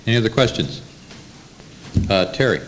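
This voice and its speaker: A man's voice: on the low side, big pitch swings, moderate pace. An American man moderating a panel in an informal style. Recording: faint background noise.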